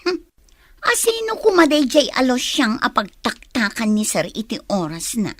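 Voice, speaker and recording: An actress playing a puzzled, inquisitive daughter in an Ilocano radio drama, with an Ilocano accent. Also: female voice, on the high side, huge pitch swings, normal speed, a bit bright, no room sound, clean studio sound, heavily acted. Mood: puzzled, suspicious.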